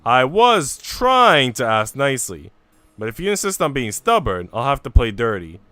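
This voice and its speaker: Man voicing a haughty, animated young noblewoman. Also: medium pitch, normal speed, clear, resonant tone, no room sound, faint music behind. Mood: threatening. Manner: imperious, taunting. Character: cruel, haughty young noble lady, smug bully.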